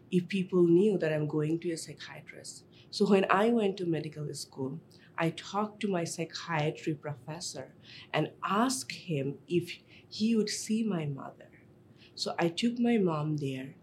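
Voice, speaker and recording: A woman psychiatrist from Bangladesh with a Bangladeshi accent, telling a personal story in a lively way with non-native phrasing. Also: lowish voice, a little hiss or hum. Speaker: child and adolescent psychiatrist.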